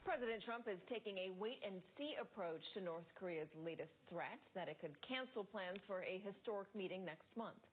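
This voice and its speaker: A woman's voice: mid-range voice, expressive, moderate pace, hardly any pauses. A woman with an American accent anchoring live news and questioning guests. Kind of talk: live news presenting and interviewing. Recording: tinny phone-like audio, faint noise.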